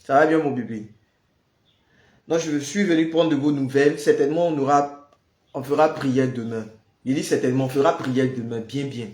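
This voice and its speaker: A man's voice: mid-range voice, fairly even intonation, normal speed, darkish tone, reverberant. A man with the flu, leading a prayer livestream and chatting casually with viewers, greeting them by name. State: has the flu. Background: noise of building work.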